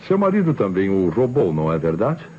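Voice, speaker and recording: An actor playing a shrewd, sardonic Brazilian police inspector in an old radio crime drama, measured and probing. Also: male voice, deep voice, huge pitch swings, dark tone. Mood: sardonic, pressing. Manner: measured, probing, rhetorical questioning. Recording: dull old radio recording, faint noise.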